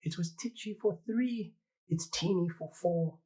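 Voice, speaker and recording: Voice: medium pitch, measured pace, mellow, husky, voice pushed up, poor audio. A reader voicing a fretful little old woman who keeps begging for help with her crowded house, in a pleading, sing-song storybook manner.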